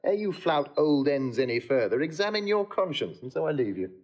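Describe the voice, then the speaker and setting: High, swooping mocking wit. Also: male voice, sing-song, brisk, darkish tone, dull audio. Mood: mock-offended, teasing. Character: witty, mocking young lord, flamboyant jester. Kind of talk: classical Shakespearean audio drama acting.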